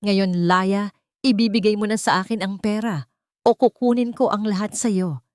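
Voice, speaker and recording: A woman's voice: medium pitch, normal speed, no room sound, studio quality, voice pushed up, natural voice. Woman acting out a menacing, greedy drunken husband. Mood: menacing, controlling. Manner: shouting, demanding, desperate.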